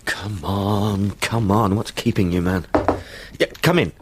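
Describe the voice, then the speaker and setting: An actor playing a polite, chatty young English solicitor in a radio drama, well-spoken and formal. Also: male voice, lowish voice, steady tone, unhurried, background noise, lightly acted. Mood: impatient. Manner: well-spoken, formal, wordy, hesitant fillers. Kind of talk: naturalistic radio drama acting.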